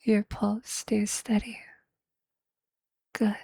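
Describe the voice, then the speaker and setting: Casting: possessive, doting androgynous vampire played by a man for ASMR romance; heavy fry, unhurried delivery, seductive half-whisper. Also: high voice, completely flat delivery, no room sound, clean studio sound, full character voice. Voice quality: breathy, creaky, often whispered. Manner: slow, deliberate, hushed, seductive. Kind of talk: ASMR romantic roleplay, intimate scripted monologue.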